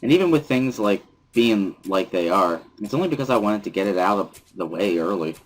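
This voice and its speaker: A man's voice: on the low side, lively intonation, brisk. A man giving a first-person scripted narration, reading a visual novel aloud as a dramatic reading. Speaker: reader of a dramatic reading series.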